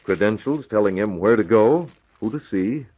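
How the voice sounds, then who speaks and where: Deep, husky, earnest crimefighting hero, decisive, muffled vintage radio adventure. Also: male voice, animated, normal speed. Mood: excited, explaining. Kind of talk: 1940s radio adventure acting.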